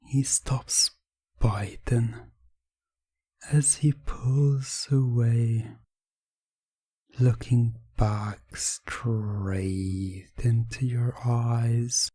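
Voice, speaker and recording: A man narrating an ASMR hypnosis story in a hushed, deliberate, soothing, repetitive way. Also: lowish voice, slightly rough, no echo, clean studio sound.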